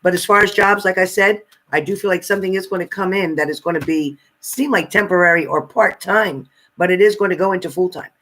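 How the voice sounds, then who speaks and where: A man's voice: sits high, brisk, hardly any pauses, pushed and bright, low-quality recording. A man hosting a casual live question-and-answer stream, giving spirit readings and chatting with viewers.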